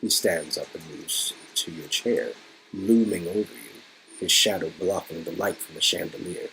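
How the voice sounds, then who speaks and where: A man's voice: sits low, moderate pace, gravelly, pushed and bright, a little hiss or hum, low-quality recording. A man reading dramatic scripted narration from an interactive story game. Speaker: narrator and co-reader.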